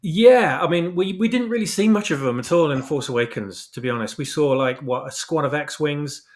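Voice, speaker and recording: A man speaking as a podcast guest about his own creative work, chatting casually with halting, restarted phrases. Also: on the high side. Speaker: guest, fan audio drama creator. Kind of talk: casual chat.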